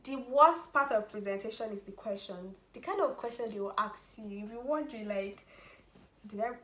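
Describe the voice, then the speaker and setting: A woman's voice: medium pitch, moderate pace, a bit bright, slight background noise. A young woman with a Nigerian accent, chatting casually and animatedly about student life, laughing often. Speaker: vlogger, international student. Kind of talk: casual chat. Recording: echoey room with faint ambience.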